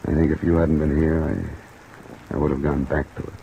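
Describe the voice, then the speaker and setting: An actor playing a tough, weary American insurance investigator in terse 1950s detective radio drama style. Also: male voice, rumbling low, moderate pace, creaky, mellow, lo-fi sound. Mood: weary, intimate. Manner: terse, hard-boiled delivery. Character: tough, weary male insurance investigator narrating his case. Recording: old radio recording under rain noise.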